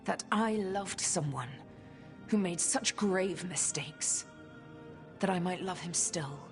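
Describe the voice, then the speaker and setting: Solemn elf inquisitor, low n measured, wistful, soft music behind, fantasy game acting. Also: female voice. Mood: heartbroken, wistful. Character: solemn, wistful female elf inquisitor, once betrayed in love.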